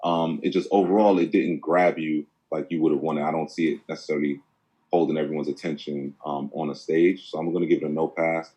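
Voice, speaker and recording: A man's voice: rumbling low, little pitch movement, brisk, mellow, breathy edge, muffled recording. A man judging a music competition over a video call, giving feedback hesitantly with many ums. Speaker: competition judge.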